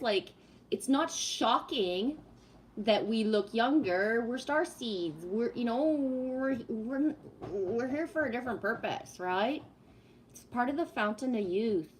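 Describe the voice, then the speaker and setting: A woman's voice: a little high, slight background noise, poor audio. A woman in her fifties hosting a livestream, chatting casually and greeting viewers while talking about spiritual topics. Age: 50s. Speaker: livestream host.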